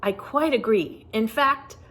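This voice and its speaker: A woman voicing a prim, cautious male gargoyle in a children's storybook, formal and measured. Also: a little high, fairly bright tone. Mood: indignant.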